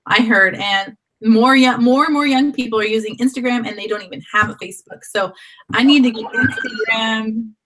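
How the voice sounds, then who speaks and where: A woman with a slightly high voice hosts a live online chat. She has a sore throat from a cold, and she rambles and restarts her sentences as she talks over a video call. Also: moderate pace, noisy background. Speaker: host.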